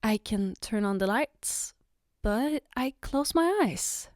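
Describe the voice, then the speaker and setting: A woman performs a caring young girlfriend in an intimate ASMR roleplay. Her voice sits fairly high and moves very slowly, with gentle, hesitant phrasing as she reassures her partner. The sound is dry and close. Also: expressive, no room sound. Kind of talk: ASMR roleplay, spoken to the listener.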